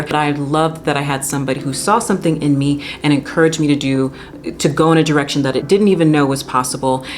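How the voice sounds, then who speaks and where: A woman in her thirties, an opera singer, talking straight to camera from her living room with lively, expressive delivery. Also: low-pitched, a little hiss or hum. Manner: animated, expressive, talks with her hands. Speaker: opera singer and channel host. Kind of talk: direct-to-camera vlog talk. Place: home living room with bookshelves.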